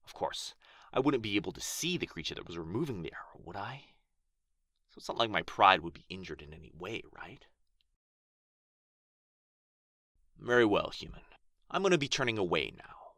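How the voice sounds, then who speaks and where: A man's voice: lowish voice, sharp tone, no echo, clean studio sound, heavily acted. A man playing a proud, pompous male dragon in a fantasy ASMR roleplay, with archly formal, theatrical phrasing. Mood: grudging, threatening. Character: proud, haughty injured male dragon, grudgingly grateful, teasingly possessive.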